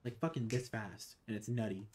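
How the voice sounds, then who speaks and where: A man streaming a video game, talking over it in a loud, sarcastic way and swearing a lot. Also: lowish voice, steady tone, brisk. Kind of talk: casual game commentary.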